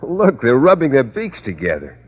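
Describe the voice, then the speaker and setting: An American man playing a shy, naive, earnest gentleman in an old radio sitcom, hesitant and polite. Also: mid-range voice, huge pitch swings, normal speed, slightly rough. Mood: innocent, pleased. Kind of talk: 1940s-50s radio sitcom acting. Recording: dull, reverberant old radio recording.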